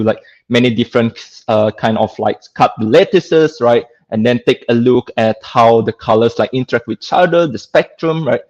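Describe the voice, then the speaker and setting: A man with a Singaporean accent presents an informal technical lecture in a conversational way, often tagging his points with a checking "right?". His voice sits at an average pitch. The sound is slightly dull and lo-fi, like online call audio. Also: a little mellow. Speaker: guest speaker, lecturer.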